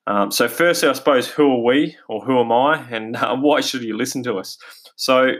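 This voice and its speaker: A man's voice: medium pitch, normal speed, a bit bright. Upbeat Australian man hosting a podcast. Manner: upbeat and eager, loose with fillers and restarts. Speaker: podcast host. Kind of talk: casual solo podcast monologue. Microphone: home podcast mic, basic quality.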